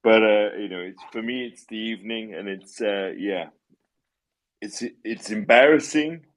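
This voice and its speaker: A man with a lowish voice hosts a live online audio chat at a slow, unhurried pace. He stumbles through restarts and fillers, sounding apologetic with a wry edge. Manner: halting, many restarts and fillers. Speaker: AMA host. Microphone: online audio call.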